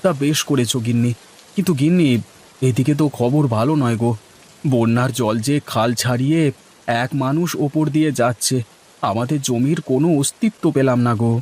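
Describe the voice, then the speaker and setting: A man playing a simple, good-hearted village farmer in an acted story, theatrical and folksy with a husky voice. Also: medium pitch, a little fast, darkish tone, close to an everyday voice. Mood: troubled. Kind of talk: acted Bengali moral story dialogue.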